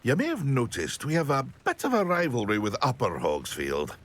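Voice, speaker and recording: A man's voice: mid-range voice, wildly swooping pitch, moderate pace. A man playing a proud, jovial village potion seller in a video game. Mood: jovial, boastful. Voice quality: husky. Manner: chuckling, boastful. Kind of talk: video game shopkeeper dialogue.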